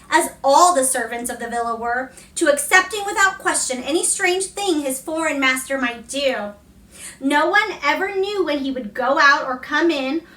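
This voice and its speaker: A woman's voice: high voice, sharp tone. A woman reading a novel aloud as storybook narration. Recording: echoey room, distant mic.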